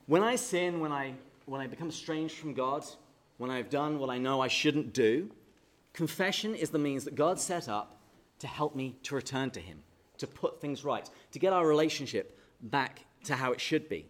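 A man's voice: medium pitch, animated, normal speed. A man with an English accent giving a light-hearted church talk to a live audience, quizzing them with jokes before leading a prayer. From England. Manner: jokey and chatty, quizzes the audience, then leads prayer solemnly. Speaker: speaker at a parish talk. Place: hall with an audience.

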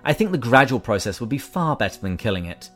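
A man voicing a fussy, evasive male ghost who pleads and makes excuses in a higher, strained voice. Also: sing-song, quick pace, faint music behind. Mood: pleading. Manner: whiny, pleading, self-excusing.

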